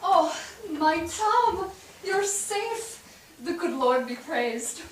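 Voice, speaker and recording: Woman as high-voiced, fussing, tender mother. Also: lively intonation, extremely slow, full character voice. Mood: tender relief. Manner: tender, exclaiming, full of questions. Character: devout, relieved, fussing mother of immigrant boy. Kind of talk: staged play reading. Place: theatre stage. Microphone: distant microphone. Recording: very echoey, distant, noisy stage pickup.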